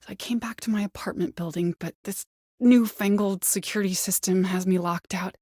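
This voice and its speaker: An actress playing a woman caller in a video game, by turns desperate, coaxing and menacing, with a hushed, insistent way of pleading. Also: mid-range voice, fairly even intonation, brisk, no echo, studio quality, close to an everyday voice. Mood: hushed fear. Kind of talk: video game voice acting, dramatic dialogue.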